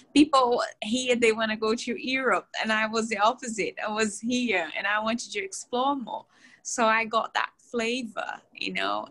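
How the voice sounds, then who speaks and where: A woman's voice: fairly high pitch, poor audio. A woman from Brazil, introducing herself as a new board member on a video call, chatty with frequent laughs and restarts. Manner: chatty and warm, laughs often, many restarts.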